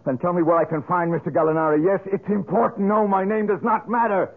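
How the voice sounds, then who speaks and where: An actor playing a headstrong, indignant young man in a 1970s radio drama, urgent and demanding, with a husky voice. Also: sits high, fairly even intonation, quick pace. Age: young adult. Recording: muffled old radio recording.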